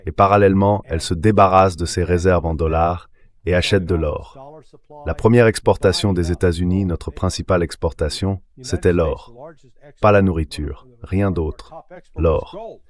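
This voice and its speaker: A man's voice: deep voice, moderate pace. A man's synthetic AI dubbing voice delivering argumentative, emphatic political commentary as an interview guest. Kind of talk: dubbed voice-over of political commentary.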